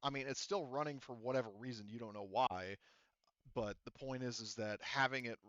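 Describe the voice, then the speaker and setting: A man's voice: mid-range voice, fairly quick, fairly bright tone, no echo, dull audio. A man running a tabletop game as game master, talking in a rambling, casual way with lots of fillers and the odd swear word. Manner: rambling, filler-heavy, profane.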